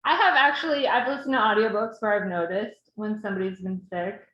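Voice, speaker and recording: A woman's voice: medium pitch, fairly quick, a bit bright, echoey room, muffled recording. A woman author chatting casually in an interview, giving brief, friendly replies.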